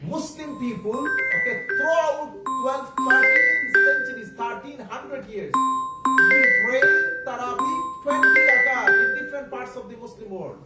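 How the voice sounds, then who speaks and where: A man whose first language is Bengali, preaching a sermon to children in English, halting and repeating himself, often asking the audience questions. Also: extremely high pitch, loud music behind the voice. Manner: halting, repetitive, searching for words. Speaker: Islamic preacher. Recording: echoey room, distant mic, faint background noise.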